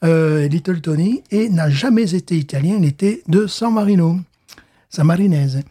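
Husky, mellow-voiced jokey French co-host. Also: male voice, fairly high pitch. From southern France. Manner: jokey, quick asides, playful accent imitations. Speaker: podcast co-host. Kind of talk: casual chat.

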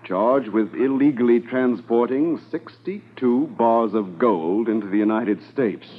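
An actor playing a commanding Mountie officer in a 1950s radio drama, speaking in a measured, authoritative way. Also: male voice, mid-range voice, lightly acted. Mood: brisk, businesslike. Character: commanding male Mountie detachment officer narrating a case. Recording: tinny 1950s radio transcription.

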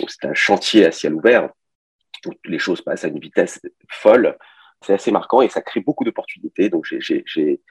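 A man's voice: deep voice, a little mellow, slightly rough, no room sound. A man in his forties, raised in Paris, speaking as a podcast guest in a hesitant manner with many fillers and restarts. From France (Paris). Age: 40s. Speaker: guest, company director. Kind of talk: relaxed interview conversation.

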